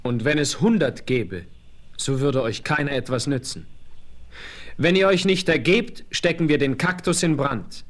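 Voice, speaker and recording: A man's voice: a little high, unhurried, close to an everyday voice. A man playing a noble, commanding Apache chief who speaks formally and declaims in a German radio play. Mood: stern.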